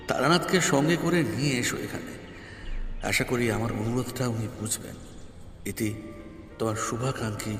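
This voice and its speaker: Guy acting a desperate scared man reading out his letter, tired and hushed, very echoey, music under. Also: mid-range voice, lightly acted. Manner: weary, hushed, pleading. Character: frightened, desperate man pleading in a letter to friends. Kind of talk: dramatised audio storytelling, letter reading. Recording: heavy echoing reverb, music underneath.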